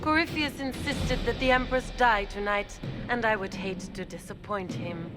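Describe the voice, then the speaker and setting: A woman's voice: mid-range voice, moderate pace, natural voice. Villainess, female woman actor: smug, condescending noble schemer, game cutscene, strong music bed. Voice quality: silky, condescending. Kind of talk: video game cutscene dialogue. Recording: music playing behind.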